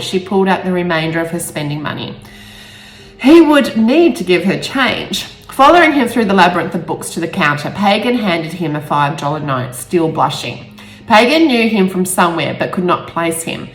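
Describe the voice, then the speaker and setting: A woman author speaking in a halting, emotional way, stumbling over words now and then. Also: medium pitch, big pitch swings. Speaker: author reading own novel aloud. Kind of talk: amateur storybook reading with personal asides. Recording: roomy echo with faint music.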